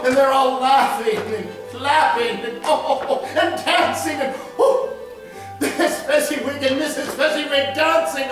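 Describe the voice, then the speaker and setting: A man's voice: extremely high pitch, heavy reverb, recorded from a distance, pitched above the performer's own voice, heavily acted, low-quality recording. A man voicing an old miser, laughing and gushing with breathless exclamations. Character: miserly old man, stirred to giddy joy.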